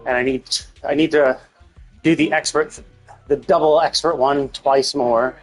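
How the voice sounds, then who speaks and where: A man's voice: mid-range voice, steady tone, unhurried, low-quality recording. A man thinking aloud in a rambling, casual way as he streams a video game. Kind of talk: casual livestream gameplay chat. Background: music.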